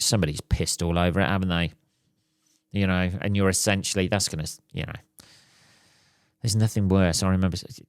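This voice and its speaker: Male host, slightly hoarse, crude and jokey solo ramble, dry and close. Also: low-pitched, no room sound. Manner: crude, swears a lot, jokey. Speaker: podcast host. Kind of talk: casual solo podcast rambling. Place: at home.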